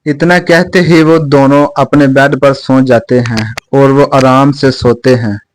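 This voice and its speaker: A man's voice: mid-range voice, steady tone, unhurried, faint music behind. A man narrating a scripted moral story as a storyteller.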